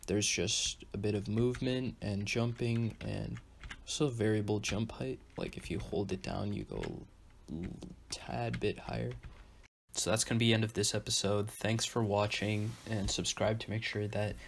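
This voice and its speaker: Low-voiced man hosting a coding tutorial. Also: normal speed, vocal fry, slight background noise. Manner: relaxed, with frequent ums and restarts. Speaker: tutorial host. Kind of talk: casual screen-recorded coding tutorial.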